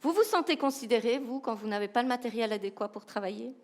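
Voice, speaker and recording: A woman with a Belgian French accent giving a humorous, animated keynote talk, asking the audience rhetorical questions. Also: a little high, fast. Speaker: keynote speaker, professional coach.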